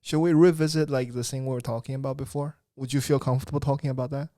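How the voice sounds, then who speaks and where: A man's voice: medium pitch, fairly even intonation, fast talker, mellow, dry recording. Young guy hosting a podcast, rambly and casual, dry close sound. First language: Chinese. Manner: loose, rambling, swears casually. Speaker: podcast host. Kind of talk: casual chat.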